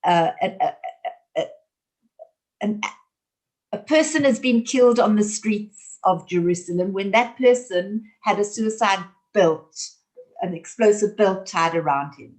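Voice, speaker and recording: A woman's voice: mid-range voice, animated, darkish tone. A woman, speaking earnestly and emphatically as an author guest in an interview. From South Africa. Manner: earnest, emphatic, speeds up and slows down. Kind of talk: conversational interview.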